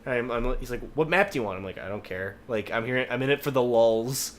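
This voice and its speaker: A man's voice: medium pitch, quick pace. A man co-hosting a podcast, telling rambling anecdotes in casual chat and swearing freely. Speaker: podcast host. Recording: noticeable background noise.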